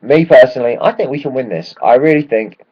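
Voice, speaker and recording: A man, hoarse from shouting at a match, ranting excitedly and swearing freely in a casual football vlog. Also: medium pitch, fast, dull audio. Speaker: football fan vlogger.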